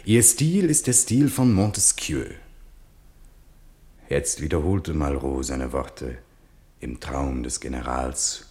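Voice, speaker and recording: An actor playing a solemn chronicler of a sleeping general's dreams, narrating in a stately, literary radio-play manner. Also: male voice, a bass voice, slow pace, long pauses, dark tone.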